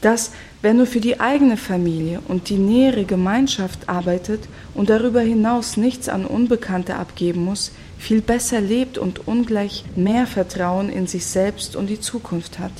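A woman's voice: mid-range voice, normal speed, hardly any pauses, mellow, slight background noise, close to an everyday voice. A woman playing an embittered former member of a self-sufficient rural commune in a reflective radio drama monologue. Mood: indignant, resigned.

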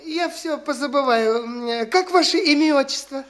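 A man's voice: very high voice, moderate pace, fairly bright tone. An actor playing a young male impostor in a classic radio play, breezy and condescending, casually cadging money. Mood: airy, cadging. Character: young male petty-official impostor, flighty and boastful. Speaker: lead actor in an audio play. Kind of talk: classic radio theatre acting.

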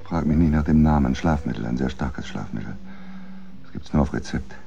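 An actor playing a cold, calculating husband in a naturalistic radio drama, speaking in a terse, matter-of-fact, controlled way. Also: male voice, rumbling low, a little fast, creaky, warm tone, highs cut off, full character voice. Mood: coolly explaining. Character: cold, calculating husband calmly plotting his wife's death.

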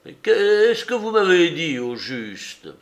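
A man's voice: fairly high pitch, lively intonation, measured pace, creaky, pitched above the performer's own voice. A man reading aloud and voicing an irritable old male office clerk who sputters and exclaims in indignation. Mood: furious. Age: older.

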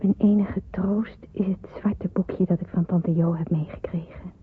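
An actress playing an earnest, devout young woman in wartime hiding in a radio drama, with a husky, breathy voice. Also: fairly low pitch, steady tone, a little slow. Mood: devout, solemn. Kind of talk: radio drama acting with narrated monologue. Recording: muffled, phone-like radio play recording.

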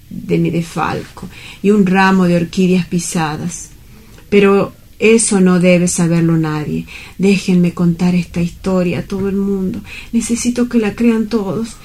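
A woman's voice: lowish voice, steady tone, measured pace, warm tone. A woman playing a dreamy, lovelorn young male bank clerk in a radio drama, with wistful, poetic, earnest delivery. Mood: sorrowful confession. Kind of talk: dramatic radio-play monologue.

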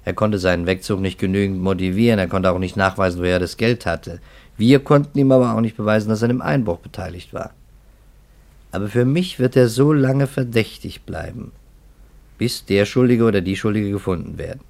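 Man playing a low-voiced, measured police investigator. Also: a little mellow, close to an everyday voice. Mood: measured, skeptical. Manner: deliberate, matter-of-fact, guarded. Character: measured, skeptical police investigator, weary and pragmatic. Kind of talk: 1950s German radio drama acting. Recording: 1950s radio drama recording.

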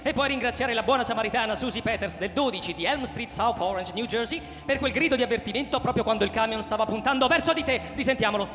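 An actor playing an excitable, slick TV game show announcer of a deadly manhunt in a radio drama, with sensational showman patter. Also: very high voice, quick pace, few pauses, reverberant, big-room sound. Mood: excited alarm.

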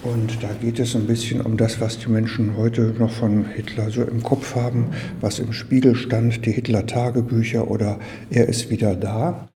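A man explains things informally, like a guide in an exhibition. His voice is mid-pitched and mellow, carried by the long reverb of a large indoor room. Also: little pitch movement, normal speed, few pauses, a little hiss or hum. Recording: long hall reverb, muted tone.

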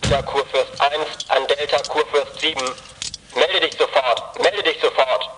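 A man's voice: a little high, fairly even intonation, measured pace, slight background noise, rough recording. A man playing a stern, curt dispatcher speaking over CB radio in a radio play. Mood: stern, urgent. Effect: CB radio transmission.

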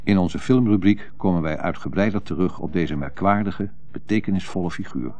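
An actor playing a solemn radio newsreader, delivering a special bulletin in a formal, measured manner within a radio drama. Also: male voice, deep voice, warm tone, dull audio, natural voice.

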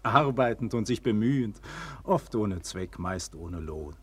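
A man's voice: on the low side, animated, measured pace, husky, no echo, full character voice. An actor playing an ardent, proud and weary nobleman and tender lover in a classic German radio play, with theatrical, declamatory delivery. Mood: impassioned, weary. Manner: theatrical, declamatory, swelling and falling to hushed tenderness.

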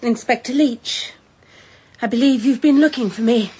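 A woman's voice: a little high, moderate pace, a little mellow, a little hiss or hum, lightly acted. An actress playing a tired, resigned young woman accused of murder in a radio drama. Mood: weary resigned. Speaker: Audrey Strange, arrested woman. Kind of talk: BBC radio drama acting.

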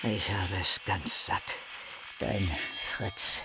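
An actor playing a sickly, sardonic scholar in a German radio drama, speaking in an ornate, pompous, theatrical way. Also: male voice, low voice, fairly even intonation, extremely slow, background noise. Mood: weary, despairing. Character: sickly, sardonic male scholar complaining with grandiose eloquence.

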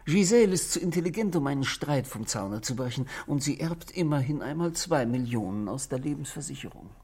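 A man playing a bitter but controlled husband in a 1960s German radio drama, speaking in formal, deliberate phrases. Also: mid-range voice, animated, a little mellow, breathy edge. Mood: earnest. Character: controlled, bitter married man confessing to police.

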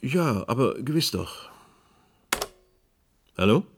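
A man's voice: medium pitch, very animated, takes it slowly, a little hiss or hum. A male actor playing an earnest radio presenter facing catastrophe in a radio drama. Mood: grave, composed. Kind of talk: radio drama acting, broadcast moderation.

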